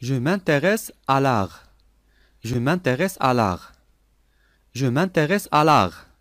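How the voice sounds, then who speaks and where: Male lesson reader: deliberate, clear, repeats each phrase, animated intonation. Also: medium pitch, no room sound, clean studio sound. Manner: clear, deliberate, each sentence said three times. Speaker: language lesson voice. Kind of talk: slow repeated phrase reading for learners.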